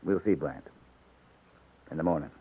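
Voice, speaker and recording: An actor playing a stern, steady cavalry captain, measured and terse, in 1950s radio western style. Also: male voice, very deep voice, slightly rough, phone-quality sound. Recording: band-limited 1950s radio recording.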